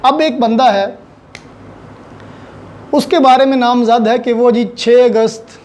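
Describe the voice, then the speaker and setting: A law lecturer with a Pakistani accent explains with examples in deliberate, halting phrases, mixing in English legal terms. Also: mid-range voice, fairly even intonation, a little mellow.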